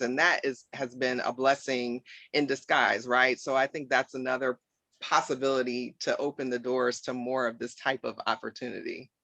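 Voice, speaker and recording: A man speaking as a guest in an online conversation, thoughtful and measured, often checking in with a "right?", heard over a video call. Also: medium pitch, pushed and bright, dry recording. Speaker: guest from a nonprofit association. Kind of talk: reflective conversation.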